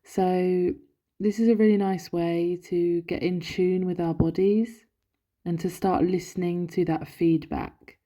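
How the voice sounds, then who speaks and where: A woman leading a guided body scan meditation in a gentle, soothing, instructional manner. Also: lowish voice, fairly even intonation, a little slow. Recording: dull, lo-fi, roomy echo.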